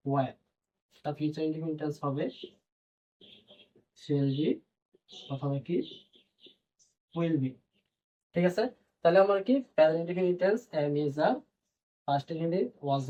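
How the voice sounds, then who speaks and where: A man teaching a grammar lesson, repeating points and answering his own questions as he explains. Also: fairly high pitch. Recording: dull, lo-fi band-limited sound.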